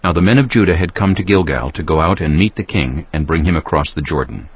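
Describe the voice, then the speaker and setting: Very deep husky male narrator. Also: quick pace, muffled recording. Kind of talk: scripted Bible narration.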